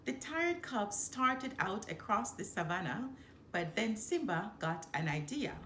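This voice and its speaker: A woman reads a children's story aloud at a slow pace with very lively intonation. Her voice is fairly high and sits in an echoey room with light noise. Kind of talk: expressive children's read-aloud. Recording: roomy echo, background noise.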